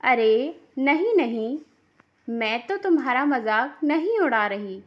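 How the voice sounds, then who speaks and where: A woman voicing a reproachful, sharp-tongued older mother-in-law who scolds and taunts in a cartoon moral story. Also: sits high, expressive, slow, dull audio, heavily acted. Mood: taunting.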